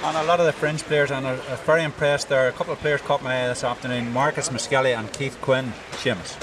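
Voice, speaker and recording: A middle-aged man interviewing a guest outdoors at a sports ground, holding a handheld mic and asking brisk questions. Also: medium pitch, fairly even intonation, far from the mic, noisy background. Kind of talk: post-match interview. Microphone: holds handheld mic.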